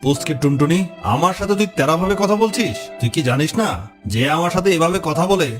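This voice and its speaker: A man's voice: a little high, expressive, quick pace, lightly acted. A man voicing a huge, greedy monster fox in a cartoon, taunting and threatening. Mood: angry bullying. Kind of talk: cartoon character voice acting. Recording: music under the voice.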